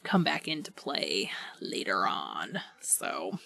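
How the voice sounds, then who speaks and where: Giggly, animated woman podcast co-host. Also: a bass voice, slow, vocal fry. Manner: giggly, prone to laughing; informal, enthusiastic fan talk. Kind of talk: casual book club chat.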